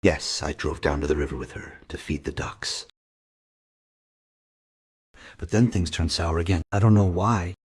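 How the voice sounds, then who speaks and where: A man playing a guilt-ridden, defensive middle-aged father giving a halting confession in a crime radio play. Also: low voice, slightly rough. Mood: weary confessing.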